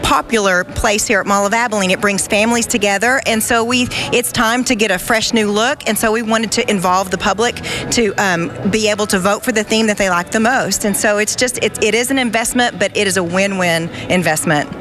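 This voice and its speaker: A woman's voice: medium pitch, brisk, few pauses, a bit bright, faint music behind. A middle-aged woman speaking for a shopping mall in a live interview, cheerful and promotional. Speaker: mall representative. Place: indoor shopping mall courtyard. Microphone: reporter's handheld mic.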